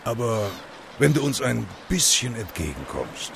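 A man's voice: medium pitch, sing-song, a little slow, gravelly, background noise. A man playing a huge, provocative eco-activist in an audio drama, goading and sarcastic with emphatic delivery. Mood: smug, provocative.

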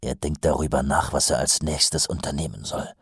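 Audiobook character: man doing a gruff, brooding captain, very deep, husky, quiet and cool, dry read. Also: unhurried, dry recording, exaggerated voice. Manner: low, muttering, cool. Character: gruff, weary male watch captain.

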